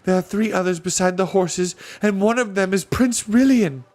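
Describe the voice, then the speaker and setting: Man voicing a young schoolgirl, excited, eager, high shrill voice, storybook. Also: normal speed, faint music behind, lightly acted.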